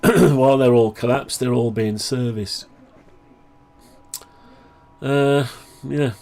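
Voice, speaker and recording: A man talking through a project update on his own software, rambling and thinking aloud, voice groggy from the flu. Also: mid-range voice, steady tone, takes it slowly. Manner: rambling, thinking aloud, frequent throat clearing. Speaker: hobbyist maker showing his own software. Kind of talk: casual screen-share project update.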